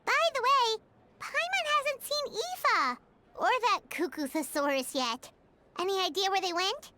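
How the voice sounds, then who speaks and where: A woman voicing a tiny, excitable, greedy female fairy companion in a video game, with a squeaky childlike delivery. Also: measured pace, heavily acted. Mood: eager, curious. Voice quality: squeaky, bright. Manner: childlike, refers to self in third person. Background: music.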